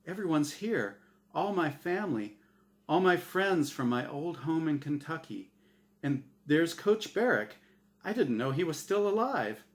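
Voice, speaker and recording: A man's voice: a little high, very animated, unhurried, voice pushed up. A man voicing an anxious, bewildered dead man in a story reading, hesitant and questioning.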